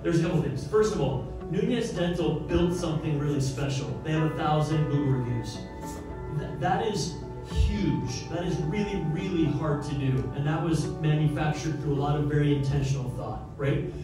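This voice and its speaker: Panelist, thirties, energetic and emphatic; handheld mic in a very echoey room, distant pickup. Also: male voice, a little high, normal speed. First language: English. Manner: fired-up, emphatic, talks with his hands. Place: large office event space, indoors. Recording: roomy, from afar, with music.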